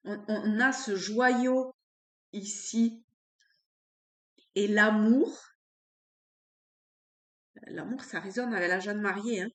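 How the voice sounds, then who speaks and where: A woman with a cold doing an unscripted tarot reading, pausing often and searching for words. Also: medium pitch, a bit bright, echoey room. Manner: halting, searching for words with many fillers. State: has a cold. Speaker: tarot card reader.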